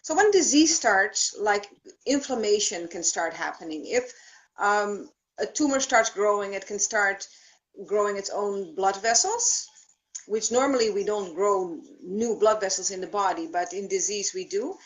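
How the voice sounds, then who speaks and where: A woman with a Dutch accent speaks as a guest over a video call. She explains her work step by step and often pauses to think. Her voice sits in a middle pitch range. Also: lively intonation, low-quality recording. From Netherlands. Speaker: thermography practitioner, guest. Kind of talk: explanatory interview.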